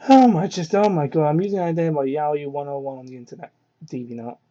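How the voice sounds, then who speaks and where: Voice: medium pitch, quick pace, dark tone, lo-fi sound. A person giving a mocking dramatic reading of fan fiction, breaking off into exasperated, incredulous, foul-mouthed asides, on a poor-quality hobby microphone. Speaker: amateur reader of fan fiction.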